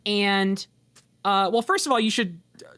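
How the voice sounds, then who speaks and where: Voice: mid-range voice, unhurried, fairly bright tone, no room sound. A commentary host chatting casually, explaining background and steering the group discussion.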